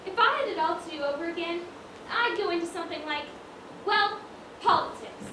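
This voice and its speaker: A woman's voice: very high voice, expressive, brisk. A woman on stage playing a prim, wistful widowed dress-shop owner, full of old-fashioned exclamations. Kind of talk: amateur stage acting, one-act school play. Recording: roomy stage, distant mic, clear noise.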